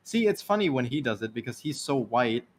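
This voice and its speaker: A man's voice: medium pitch, big pitch swings, fairly quick. Chill guy w a taiwanese accent rambling on stream, laughs a lot, bit of hiss behind. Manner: laughs often, rambling anecdotes. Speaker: stream co-host. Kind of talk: casual stream chat. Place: lives in Ireland.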